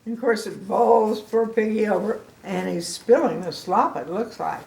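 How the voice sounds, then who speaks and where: A woman's voice: medium pitch, normal speed, vocal fry, a little mellow. An older woman doing a children's read-aloud as a grandmother, with exaggerated emphasis and asides addressed straight to the listeners. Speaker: grandmother storybook reader. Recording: echoey room.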